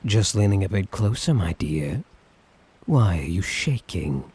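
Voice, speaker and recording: A suave, lovestruck tyrant, played by a man for an ASMR roleplay, speaks in an unhurried, very husky murmur. He is theatrical and teasing, lilting widely as he dotes with condescending affection and a sadistic edge. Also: deep voice, very animated, a little mellow, exaggerated voice. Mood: doting, teasing, then tender, possessive. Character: suave, sadistic, doting male tyrant, obsessively in love.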